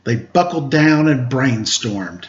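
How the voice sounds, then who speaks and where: A man's voice: medium pitch, expressive, takes it slowly. A man reading a children's picture book aloud at storytime, with casual asides to his listeners. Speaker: storytime reader, teacher. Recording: roomy, dull, faintly noisy home recording.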